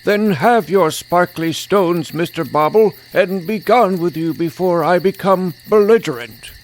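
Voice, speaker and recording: Would-be druid hero, played by a man, a touch high, naive, easily indignant, pompous one moment and plain the next. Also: unhurried, a little hiss or hum. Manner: mock-archaic pomposity slipping into plain petulant talk. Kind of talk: first-person fantasy audio drama.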